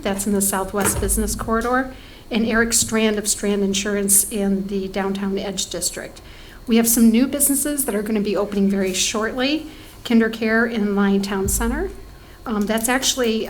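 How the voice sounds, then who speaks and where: A woman's voice: medium pitch, noisy background. A woman giving a report at a township board meeting, talking conversationally with many ums and restarts, her voice fading from allergies.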